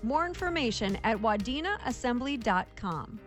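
A woman reading a scripted radio book promo and sponsor spot in a sincere, even manner. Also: a little high, normal speed, music in the background. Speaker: radio announcer.

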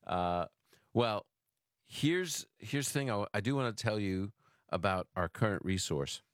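A man's voice: lowish voice, expressive, measured pace, dry recording, studio quality. An American man hosting a radio call-in show in a chatty way, often repeating and restarting his phrases. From Brooklyn, New York, United States. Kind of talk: casual talk radio, answering callers.

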